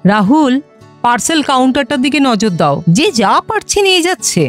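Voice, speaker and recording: A woman playing a brisk, motherly middle-aged headmistress in an audio drama. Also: high-pitched, big pitch swings, faint music behind. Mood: harried, bossy. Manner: brisk, instructing.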